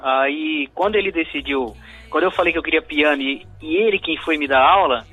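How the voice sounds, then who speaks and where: A man's voice: fairly high pitch, a little fast, slightly rough, dry recording, phone-quality sound, faint music behind. A man with a southern Brazilian accent, a musician answering radio interview questions in a reflective, hesitant way that often restarts phrases. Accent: southern Brazilian Portuguese. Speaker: musician guest.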